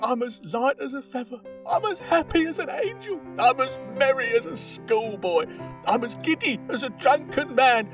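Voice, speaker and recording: A man's voice: very high voice, expressive, moderate pace, far from the mic, over music. A man playing an elated, breathless old miser turned generous in an audio drama, exclaiming with exuberance. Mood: giddy joy.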